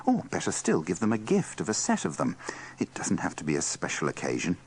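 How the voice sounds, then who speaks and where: A man's voice: low voice, wildly swooping pitch, a little fast, creaky, husky. A man presenting scripted narration with a dry, tongue-in-cheek delivery. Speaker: presenter of a radio bloopers compilation.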